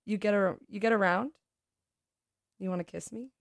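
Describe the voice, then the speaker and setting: A woman's voice: medium pitch, fairly even intonation, moderate pace, creaky, dry recording, clean studio sound, pitched above the performer's own voice, close to an everyday voice. A woman voicing a brash, teasing teenage girl from the neighborhood, streetwise and a little snotty.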